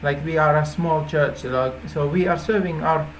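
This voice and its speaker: A native Finnish man speaking English with a Finnish accent, informally explaining an idea in halting phrases with repeated words. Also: fairly high pitch, slight background noise, low-quality recording. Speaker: app developer presenting a feature idea.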